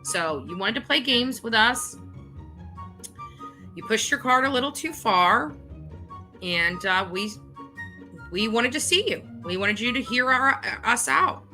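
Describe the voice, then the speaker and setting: A woman's voice: fairly high pitch, expressive, unhurried, pauses often, sharp tone, soft background music. A woman speaking off the cuff in an indignant, emphatic, self-justifying way, like a vlog host. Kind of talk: unscripted monologue to camera.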